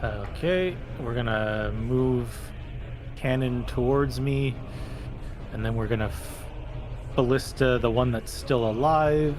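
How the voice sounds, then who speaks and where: Very slow-talking man at a game. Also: mid-range voice, noisy background. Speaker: tabletop game player. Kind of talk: casual game chat. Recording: music playing behind the voice. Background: loud background music.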